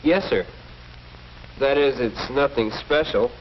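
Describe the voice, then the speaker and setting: An actor playing an earnest, polite teenage schoolboy in a 1940s educational film, speaking deferentially. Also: male voice, mid-range voice, slow pace, lightly acted. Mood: eager, polite. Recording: muffled, noisy old 1940s film soundtrack.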